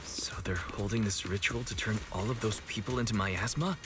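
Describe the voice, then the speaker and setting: A man plays a level-headed young investigator in an anime game. His voice is fairly low, earnest and thoughtful, with clear game music underneath. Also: normal speed, natural voice. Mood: puzzled, concerned. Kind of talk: video game dialogue acting.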